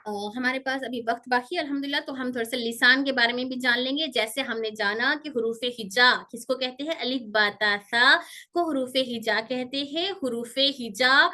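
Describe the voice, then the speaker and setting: A woman's voice: high-pitched, normal speed, pushed and bright. A woman teaching a pronunciation class, explaining step by step, repeating key terms and answering her own questions, with English terms mixed in. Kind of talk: online class lecture.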